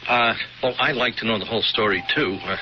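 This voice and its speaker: An actor playing a mild, hesitant, apologetic state trooper in a radio drama. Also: male voice, mid-range voice, fairly quick, lightly acted. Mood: apologetic, easygoing. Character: courteous, apologetic state trooper taking a report. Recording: muffled noisy 1970s radio recording.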